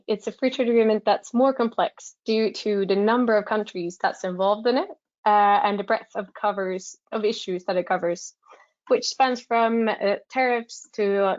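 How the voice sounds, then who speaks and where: A woman with a slightly hoarse voice from a cold, giving a formal, prepared webinar presentation. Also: medium pitch, no echo. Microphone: online webinar call. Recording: dry, dull webinar audio.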